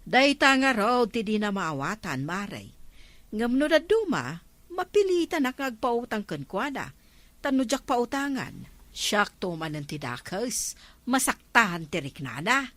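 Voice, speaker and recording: A woman's voice: fairly high pitch, big pitch swings, unhurried, a bit bright, no echo. A woman with an Ilocano accent playing a talkative, mildly exasperated village neighbour in a comedy drama. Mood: confiding, exasperated.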